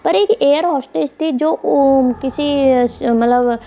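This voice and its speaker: High, mellow-voiced woman telling mystery stories. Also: slow, gravelly. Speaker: storyteller, channel host. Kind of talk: narrating a mystery story for online video. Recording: faint background noise.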